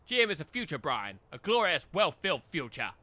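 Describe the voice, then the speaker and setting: A man's voice: fairly high pitch, very animated, a little fast, pushed and bright, exaggerated voice. A man playing a scheming, persuasive businessman with an English accent in a comic audio drama. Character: smooth-talking, scheming male English businessman.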